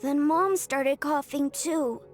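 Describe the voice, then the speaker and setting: A woman's voice: high voice, slow pace, soft background music. A woman voicing a sickly, innocent little orphan boy in a video game. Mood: wistful. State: coughing, frail.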